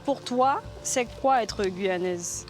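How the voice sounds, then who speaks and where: A woman's voice: medium pitch, slow. A woman interviewing in a casual, slangy way, reacting often as she listens. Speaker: reporter, interviewer. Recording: music behind the voice. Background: background music.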